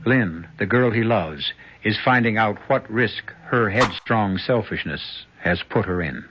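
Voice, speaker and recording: A man hosting a radio mystery show, narrating in a measured, dramatic way. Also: lowish voice, animated, background noise. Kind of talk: scripted narration. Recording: dull old radio broadcast with music.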